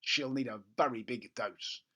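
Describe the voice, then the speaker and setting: A man's voice: mid-range voice, unhurried, fairly bright tone. A man voicing a mischievous young storybook boy, scheming to himself with relish. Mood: gleeful, resentful.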